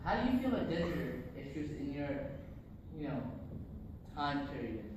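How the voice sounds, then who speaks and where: A man's voice: fairly high pitch, big pitch swings, moderate pace. A young man playing a casual interviewer on a mock talk show in an amateur school skit, with lots of likes and ums. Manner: casual, filler-heavy with many likes. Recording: far-off echoey room with noise.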